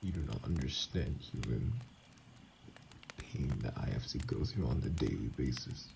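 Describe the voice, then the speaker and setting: A man playing a wounded, bitter, self-loathing vampire in an ASMR audio drama, brooding and halting, with a gravelly, breathy voice that often drops near a whisper. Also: rumbling low, expressive, background noise, heavily acted. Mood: bitter, hurt.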